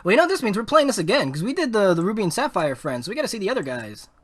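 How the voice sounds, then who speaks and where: A man live-streaming a game, giving excitable, casual, slangy commentary and reading on-screen text aloud. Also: high-pitched, very fast.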